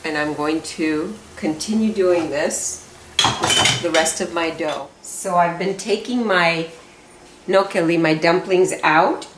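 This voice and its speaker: Woman cook, deep voice, unhurried, chatty, echoey kitchen, off-mic, faint clatter of pots. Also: recorded from a distance, background noise. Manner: slow, homely and chatty. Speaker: home cook presenting a recipe. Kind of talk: step-by-step cooking demonstration. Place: home kitchen. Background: clinking pots and cutlery.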